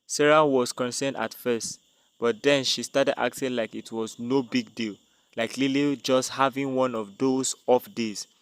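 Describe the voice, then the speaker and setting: A man's voice: mid-range voice, fairly even intonation, measured pace, smooth, no room sound. A man narrating a dramatic scripted tale as a storyteller.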